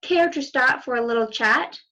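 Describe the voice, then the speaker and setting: A woman's voice: high-pitched, moderate pace, bright, forceful tone. A woman voicing a charming, sly, greedy male wolf who coaxes with suave politeness in a storybook. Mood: smooth coaxing. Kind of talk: storybook character voice.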